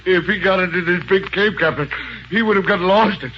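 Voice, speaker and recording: Muffled old radio recording, man acting fretful doting adventurer, high-pitched, stammering, folksy grammar, excitable. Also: brisk, a little hiss or hum, natural voice. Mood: anxious. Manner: stammering, folksy ungrammatical speech. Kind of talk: 1930s radio serial acting.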